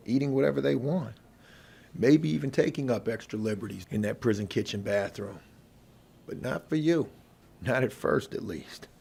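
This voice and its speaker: A gruff, middle-aged convict who rules the prison kitchen, acted out by a man for an ASMR role play. His voice is mid-pitched, full of gravelly fry and husky rasp. He talks in a folksy, bossy, intimidating way, like a lifer laying down the rules. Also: darkish tone. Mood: warning. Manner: folksy, intimidating, drawn-out menacing delivery. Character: gruff, bossy veteran male prison inmate running the kitchen.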